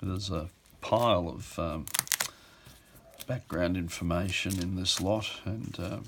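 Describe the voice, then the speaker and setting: Low, gravelly, unhurried middle-aged man. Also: fairly even intonation, noisy background. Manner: offhand, halting, thinking aloud. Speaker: auction house presenter. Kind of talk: informal item walkthrough for an auction video. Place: indoors at a table.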